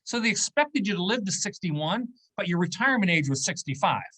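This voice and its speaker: A man's voice: high voice, very animated, a little fast, bright, forceful tone, breathy edge, rough recording. A man in his fifties, a business owner speaking as a guest in an interview, explaining in a conversational way and checking in with "okay?" and "right?". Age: 50s.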